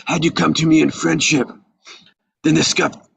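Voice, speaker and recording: A heavily husky, creaking old mafia godfather. He weighs every word with slow reproach and measured menace, as in a crime film script read aloud. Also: fairly high pitch, flat delivery, fairly bright tone, exaggerated voice. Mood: wounded authority. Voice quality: husky, gravelly rasp. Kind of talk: live table read of a film screenplay.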